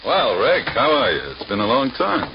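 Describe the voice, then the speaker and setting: A man's voice: mid-range voice, wildly swooping pitch, normal speed. A man playing a genial, joking prison warden in a 1940s radio drama, with a hearty manner. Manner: hearty, jocular. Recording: noisy, muffled vintage radio recording.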